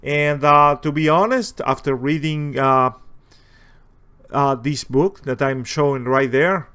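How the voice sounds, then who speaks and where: Guy w heavy spanish accent, native spanish speaker, kinda halting and rambly, just chatting unscripted for his gaming video. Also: fairly high pitch, bright, forceful tone, smooth. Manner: hesitant, halting, rambling delivery. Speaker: video host, war-gaming enthusiast. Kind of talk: casual, unscripted game and book commentary.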